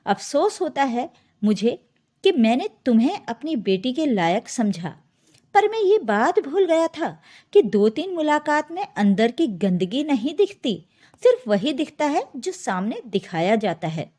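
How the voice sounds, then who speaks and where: Woman acting an outraged father, high-voiced, animated. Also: unhurried, full character voice. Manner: scolding, outraged. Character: indignant middle-aged father fiercely defending his married daughter.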